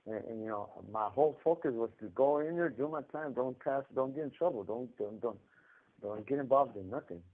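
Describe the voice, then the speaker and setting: Californian man, low voice, rambling street-slang storyteller on a phone-line recording. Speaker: incarcerated caller. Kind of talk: casual storytelling over a prison phone call.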